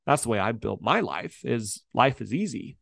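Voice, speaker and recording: A man's voice: mid-range voice, very animated, normal speed, creaky, a bit bright, no room sound, clean studio sound. A man speaking as a coach in an interview, emphatic and upbeat in a motivational way. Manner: emphatic, upbeat, acts out quoted voices. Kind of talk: interview guest, motivational talk.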